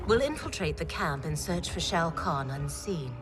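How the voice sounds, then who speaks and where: A woman's voice: low-pitched, sing-song, normal speed. An actress playing a bold, loyal warrior woman in a video game cutscene, with firm, earnest delivery. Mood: purposeful. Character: bold, loyal female warrior of a fantasy realm. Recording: game music behind the voice.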